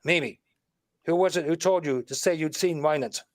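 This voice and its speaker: An actor playing a shrewd, wisecracking detective who explains things with confident emphasis, in a 1940s radio mystery style. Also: male voice, fairly high pitch, moderate pace, no echo, clean studio sound, natural voice. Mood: confident, explanatory. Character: shrewd, wisecracking male detective laying out a murder case.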